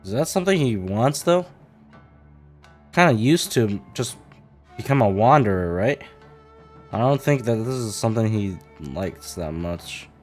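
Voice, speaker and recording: A man's voice: on the low side, animated, measured pace, pauses often. A man streaming a video game, giving casual, offhand and joking commentary. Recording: music behind the voice. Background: game music.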